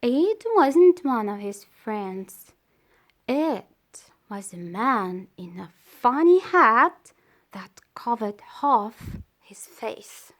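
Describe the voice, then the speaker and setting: A woman reading a children's story aloud in a deliberate way, pausing between phrases. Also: fairly high pitch, very animated, fairly bright tone. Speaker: storybook reader.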